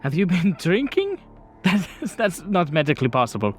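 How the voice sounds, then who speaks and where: A man's voice: sits high, wildly swooping pitch, normal speed, faint music behind, voice pushed up. A man voicing a skeptical, impatient doctor on a phone call in a tabletop game.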